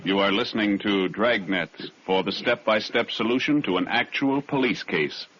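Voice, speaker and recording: A male announcer reading a 1950s radio advertisement in a measured, formal manner, on a muffled recording with hiss. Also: lowish voice, over music.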